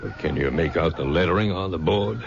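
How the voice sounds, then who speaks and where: An actor playing a brisk, skeptical lawman in a 1970s radio drama. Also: low-pitched, creaky. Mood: questioning. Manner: brisk, questioning. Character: skeptical lawman in charge of the search. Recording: dull old radio recording with loud hiss.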